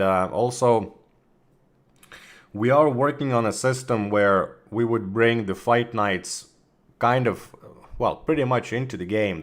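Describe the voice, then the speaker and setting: A man with a slightly hoarse voice from a cold, chatting casually with viewers on a livestream, full of fillers and restarts and clearing his throat. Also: lowish voice. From Russia. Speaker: community manager of a game studio.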